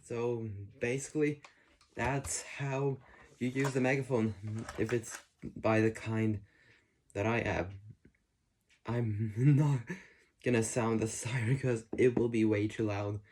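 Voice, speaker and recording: Male how-to host, mid-pitched, halting informal delivery, noisy indoor recording with clicks. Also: steady tone, a little mellow. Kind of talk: casual hands-on demonstration. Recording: noisy room with clicks and handling sounds.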